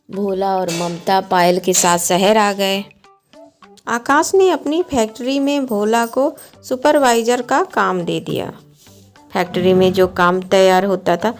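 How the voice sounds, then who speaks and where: A woman reading scripted storybook narration for a cartoon moral tale. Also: medium pitch. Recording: music behind the voice. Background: background music.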